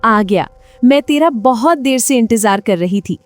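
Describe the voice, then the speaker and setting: Woman acting a suspicious soldier's wife, fairly high voice, sharp-tongued, dramatic, cartoon, soft music behind. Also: animated, measured pace, dry recording. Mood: defiant. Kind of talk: Hindi cartoon moral-story voice acting.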